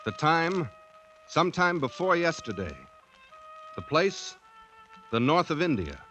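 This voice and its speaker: A man announcing a 1950s radio drama in a measured, declamatory style. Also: on the high side, very animated. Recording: vintage radio broadcast over loud music.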